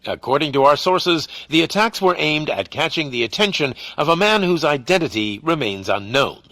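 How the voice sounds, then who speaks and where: A TV newsreader for a game. His crisp, forceful voice rises and falls widely in a dry, close recording. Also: on the high side, animated, moderate pace, no echo. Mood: polished, dramatic. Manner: dramatic newsreader cadence. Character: polished male television news reporter. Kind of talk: news broadcast acting in a video game.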